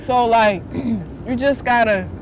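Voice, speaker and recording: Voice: medium pitch, slow, slight background noise, poor audio. A young adult in their early twenties speaking casually in a documentary interview, with slang and repeated words. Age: early 20s. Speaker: former foster youth interviewee.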